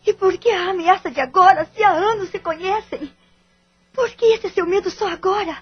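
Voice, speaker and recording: Radio drama role for an actress: betrayed Brazilian wife, high-pitched, formal, anxious and relentless in her questioning; muffled audio. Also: moderate pace. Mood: insistent, accusing. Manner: insistent, interrogating, formal diction. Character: betrayed, determined wife and mother, anxious and demanding. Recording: dull lo-fi audio with music.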